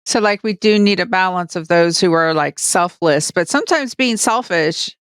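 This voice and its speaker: Female podcast co-host, American. Very clean, smooth tone, close dry sound, casual and emphatic discussion. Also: lowish voice, normal speed, dry recording.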